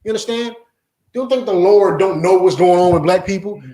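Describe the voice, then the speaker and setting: A man teaches a religious class in a forceful, insistent preaching style, checking again and again that his listeners follow. His voice sits fairly high for a man. The room around him rings with echo. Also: brisk. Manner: forceful, repeats "you understand?". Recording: echoey room.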